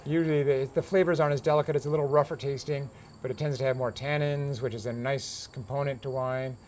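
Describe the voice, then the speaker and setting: An American man in his fifties talks casually in an interview, repeating words as he thinks. His voice sits at a medium pitch. He is outdoors on a porch, with faint insect noise behind him. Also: fairly even intonation, fast, a little mellow. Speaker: grape grower and winemaker being interviewed. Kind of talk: casual explanatory interview talk. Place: outdoor covered porch beside a pond.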